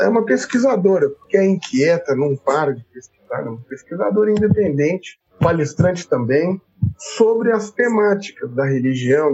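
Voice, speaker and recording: A man's voice: on the high side, a little mellow. A man hosting a podcast, greeting listeners and introducing guests in a friendly, welcoming way. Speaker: podcast host. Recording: dull lo-fi recording.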